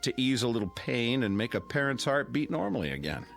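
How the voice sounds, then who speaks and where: An American actor playing a genial, sentimental middle-aged neighbor who makes a halting, teasing after-dinner speech in a radio drama. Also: male voice, mid-range voice, big pitch swings, vocal fry, a bit bright, faint music behind. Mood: warm teasing.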